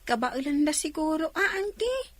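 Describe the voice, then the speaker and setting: A woman's voice: high-pitched, big pitch swings, moderate pace, a bit bright, dry recording. A woman playing an outspoken young village girl who answers back plaintively in a comedy radio drama. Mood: defiant, answering back.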